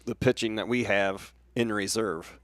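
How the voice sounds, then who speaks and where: A man's voice: on the low side, fairly even intonation, a little slow, no echo, clean studio sound. A man with an American accent giving conversational baseball analysis as a colour commentator.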